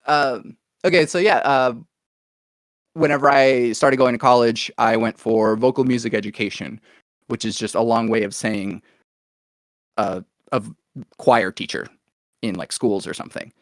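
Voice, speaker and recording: Man, American accent, medium pitch, conversational, thinking out loud, musician guest on a podcast, dry recording. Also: steady tone, moderate pace, pauses often, dry recording. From Oklahoma, United States, born in Venezuela. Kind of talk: casual conversational interview, telling own story.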